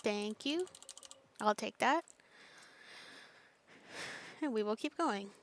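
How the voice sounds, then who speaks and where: Casting: female game streamer, slightly high voice, casual self-directed commentary while playing. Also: lively intonation, slow.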